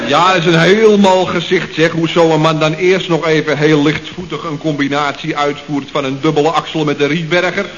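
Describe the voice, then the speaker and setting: An actor playing a glib employee who justifies himself and tells anecdotes in a 1960s radio comedy. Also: male voice, fairly high pitch, fairly even intonation, moderate pace, hardly any pauses, a bit bright, lightly acted. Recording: dull old radio recording with faint noise.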